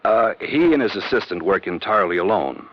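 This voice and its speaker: An actor playing an earnest, suspicious civic official in a radio drama, firm and insistent. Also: male voice, lowish voice, lively intonation, a little fast. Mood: businesslike, earnest. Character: earnest, suspicious male civic official pressing his case. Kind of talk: 1950s radio drama acting. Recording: dull, hissy old radio recording.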